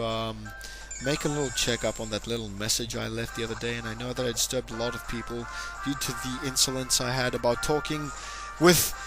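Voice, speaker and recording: A young man commentating a video game battle, excitable and jokey, speaking to his audience as ladies and gentlemen. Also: mid-range voice, poor audio. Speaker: gaming commentator. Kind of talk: Let's Play battle commentary. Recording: music playing behind the voice.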